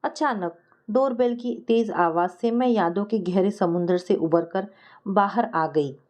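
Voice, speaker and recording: A woman's voice: medium pitch, measured pace, a little mellow. A woman reading a scripted first-person family story as a storyteller.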